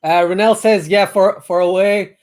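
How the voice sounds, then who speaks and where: A man hosting a cricket watchalong stream, reading viewer comments aloud and updating the score in a relaxed, chatty way. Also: sits high, moderate pace. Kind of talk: casual chat over a live stream.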